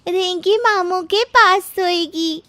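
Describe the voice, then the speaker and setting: A woman voicing a sweet, cheerful little schoolgirl with a polite childlike manner in an animated story. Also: very high-pitched, slow, no echo, voice pushed up, full character voice. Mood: cheerful, wheedling.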